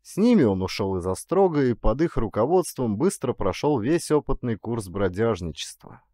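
A man narrating a literary story as an audiobook, in an expressive, actorly reading style. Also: mid-range voice, normal speed, no room sound, studio quality.

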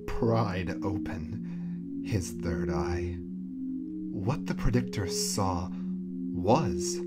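A man voicing a sinister, taunting otherworldly being in a theatrical monologue, deliberate and menacing, often dropping toward a whisper. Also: low voice. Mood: ominous, gloating. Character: sinister, taunting living color Crimson, menacing harbinger of doom. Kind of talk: theatrical villain monologue over music. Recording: loud music and ringing tones over the voice.

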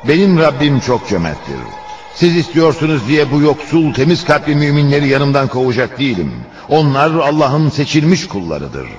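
A man's voice: on the high side, lively intonation, normal speed. A man narrating a religious cartoon in a solemn, declamatory storytelling style. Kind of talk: scripted religious cartoon narration, voicing quoted speech. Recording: dull lo-fi audio, faint music behind.

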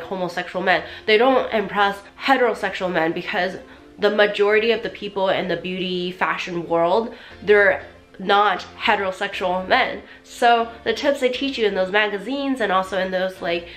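A woman in her twenties talking to camera in a bedroom, animated and chatty with lots of fillers, her voice half gone. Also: medium pitch, normal speed, soft background music. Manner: animated, chatty, gestures a lot, many "like" fillers. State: losing her voice. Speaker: vlogger. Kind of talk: casual talk to camera. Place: small bedroom indoors.

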